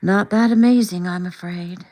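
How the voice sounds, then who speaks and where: A humble and grateful man of faith in a storybook, acted out by a woman reader. He talks politely and modestly, with quiet devotion. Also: lowish voice, moderate pace, lightly acted, poor audio.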